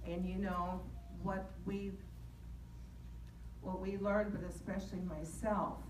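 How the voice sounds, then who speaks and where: An older woman giving an earnest testimony in church, speaking deliberately and with emphasis. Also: mid-range voice, level tone, darkish tone. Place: large church sanctuary. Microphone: handheld mic, picked up by distant camera. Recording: echoey church from far off, faint noise.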